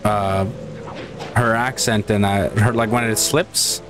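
A Canadian man with a Canadian accent giving casual, excitable let's-play commentary, swearing and laughing often. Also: on the low side, slow pace, music in the background. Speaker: gaming channel host.

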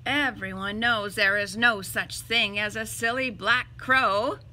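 A woman voicing a bossy, indignant crow in a children's storybook, loud and stern as it scolds. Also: medium pitch, fairly even intonation, takes it slowly, a little hiss or hum. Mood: scolding.

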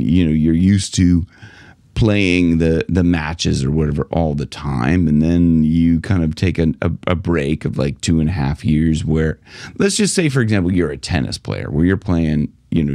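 A middle-aged man coaching singers straight to camera, animated and gesturing, with plenty of fillers, in a small home studio. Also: extremely low pitch, no room sound. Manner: animated, gestures with both hands, many fillers. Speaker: vocal coach. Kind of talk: direct-to-camera coaching talk. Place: small home studio room with guitars on the wall. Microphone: large studio mic in front of him.

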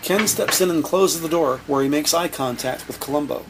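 A man's voice: a little high, moderate pace, slight background noise. A man reading scripted narration for an audio drama. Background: music.

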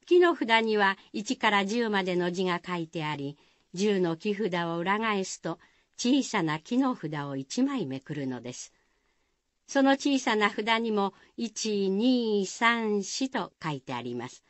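A woman's voice: mid-range voice, sing-song, a little slow, no room sound. A woman narrating a Japanese village folktale in a scripted radio drama style. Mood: measured.

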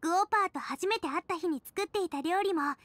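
A cheerful teenage cook in a Japanese game. Her girlish voice is very high and bright, bubbly and casual, recorded close and dry. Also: fast talker, no echo. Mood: cheerful, reminiscing.

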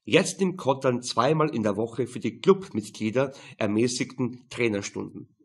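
A man reads a crime novel as an audiobook narrator. His voice is mid-pitched with a rough, husky edge. The delivery is scripted, dry and matter-of-fact. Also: expressive, moderate pace.

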